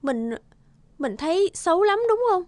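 Woman as a high-voiced gentle village maiden. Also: very animated, measured pace, no echo, studio quality, exaggerated voice. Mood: shy.

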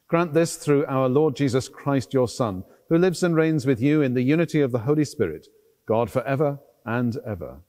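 A man's voice: mid-range voice, lively intonation, moderate pace, mellow. A middle-aged man speaking as a priest, delivering formal liturgical address. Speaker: priest, celebrant. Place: large stone cathedral interior. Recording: long church reverb.

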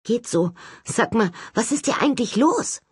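A spirited teenage girl ghost hunter, played by a woman narrator. She sounds blunt and urgent, pitched up from the storytelling voice, in a dry audiobook recording. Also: lively intonation, moderate pace, husky, dry recording. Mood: incredulous.

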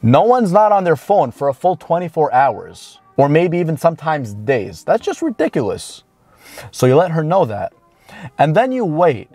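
Higher-pitched man, blunt and emphatic. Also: big pitch swings, warm tone, no echo. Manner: blunt, informal, emphatic with drawn-out key words. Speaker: dating coach. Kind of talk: direct-to-camera advice talk. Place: inside a parked car. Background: background music.

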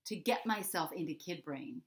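A middle-aged woman with a slightly low voice talks to young children as an author would. Her delivery is expressive and conversational, and she leans on pauses for emphasis as she reads or explains. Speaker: children's author reading her book and giving a writing prompt. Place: small indoor home room.

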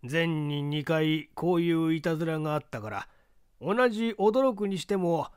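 A man's voice: on the high side, lively intonation, moderate pace, a little mellow, clean tone, dry recording, clean studio sound, pitched above the performer's own voice, natural voice. A man voicing a wealthy, jokey merchant of sixty, shaken, with wordy, old-fashioned courtesy, in a story reading. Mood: rueful.

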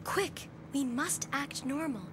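A woman voicing a prim, long-suffering female android guide in an animated dub. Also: high-pitched, slow, noisy background. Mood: managing.

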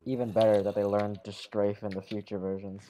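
Male gamer, low-ish voice, casual rambling chat with quick exclamations. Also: level tone, warm tone, poor audio. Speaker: game player.

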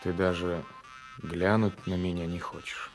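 An actor playing a brooding, passionate gendarme and former lover with a menacing streak, in a radio drama. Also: male voice, low-pitched, flat delivery, unhurried, faint music behind. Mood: bitter, passionate. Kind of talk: Soviet 1980s radio drama acting.

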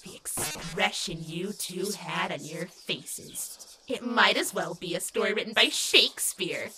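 A woman's voice: on the low side, unhurried, noisy background, lightly acted. A woman voicing a sinister, mocking demon child in a dramatic comic dub, taunting cruelly and sometimes dropping into a whisper.

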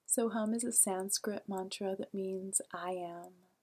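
A woman with a medium-pitched, airy voice guides a meditation. Her delivery is gentle and soothing, at times close to a whisper, and she leaves generous space between her calm, unhurried phrases.

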